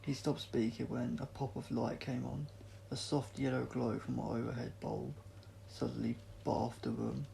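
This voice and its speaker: Male amateur audiobook reader, mid-range and heavily fry-laden, scripted narration, slight background hiss. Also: medium pitch, flat delivery, moderate pace, warm tone, low-quality recording. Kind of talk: scripted story narration read aloud, amateur.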